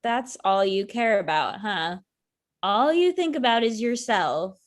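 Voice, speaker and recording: A woman's voice: medium pitch, lively intonation, a little slow, natural voice. Woman acting a dry, wounded ex-girlfriend. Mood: accusing. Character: wounded, sardonic ex-girlfriend in her thirties. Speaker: actor in a table read. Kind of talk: naturalistic comedic dialogue reading.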